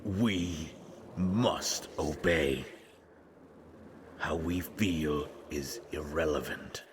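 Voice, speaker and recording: Menacing monster for audio roleplay, super deep halting voice, sad underneath, noisy background. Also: male voice, heavily acted. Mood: somber, ominous. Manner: slow, halting, ominous, speaks of himself as "we". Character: fragmented male monster, servant and unmaker, menacing yet regretful. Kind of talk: scripted roleplay audio drama.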